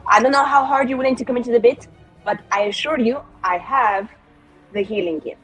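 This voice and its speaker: A woman's voice: fairly high pitch, a little fast, fairly bright tone, husky, rough recording. A woman playing a tabletop roleplaying game, improvising in character in a playful, informal way. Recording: background music.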